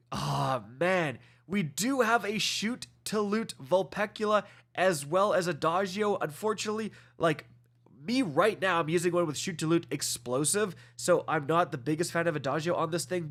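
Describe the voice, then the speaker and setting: A man's voice: fairly high pitch, fairly bright tone. A man with a congested nose giving a casual, enthusiastic review of items in a video game, often calling out to viewers as guys. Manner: quick, enthusiastic, fillers like guys and uh. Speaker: gaming video host. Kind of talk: casual gameplay review commentary.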